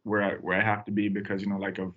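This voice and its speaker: A young man from Tanzania, a podcast guest, telling his story in a relaxed, rambling way with lots of fillers. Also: lowish voice, fast talker, phone-quality sound, slight background noise. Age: young adult. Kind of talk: casual podcast chat.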